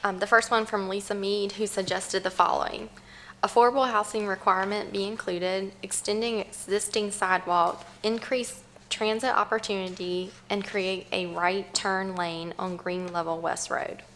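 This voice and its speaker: A woman serving as town clerk, reading written public comments in an even, businesslike way. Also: medium pitch, normal speed, slight background noise. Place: town council chamber.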